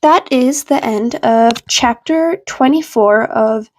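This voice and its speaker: A woman's voice: fairly high pitch, slow, darkish tone, a little hiss or hum. A woman narrating a story from a script. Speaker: podfic narrator.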